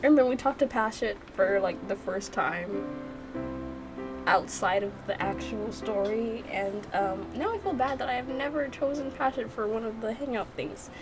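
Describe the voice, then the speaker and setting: A woman's voice: a little high, normal speed, low-quality recording. A woman giving casual gameplay reaction commentary as a let's play host. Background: background music.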